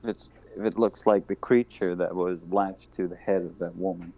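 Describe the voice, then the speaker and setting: Deep, gravelly man player. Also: fairly even intonation, normal speed, warm tone. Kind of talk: playing a tabletop roleplaying game.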